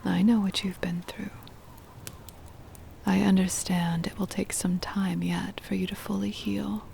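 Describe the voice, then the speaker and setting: A possessive vampire noblewoman, played by a woman, whispers slowly and intimately in an ASMR roleplay. Her voice is soft and mellow, with very heavy creak. She sounds formal and doting, soothing her beloved pet up close over steady background ambience. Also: medium pitch, slight background noise. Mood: soothing, devoted. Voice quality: breathy whisper with creaky fry.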